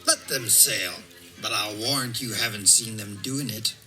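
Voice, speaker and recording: A man's voice: lowish voice, a little slow. A man playing a stubborn, scoffing rustic hobbit in an audio drama, mocking and dismissive. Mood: scornful. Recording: loud music behind.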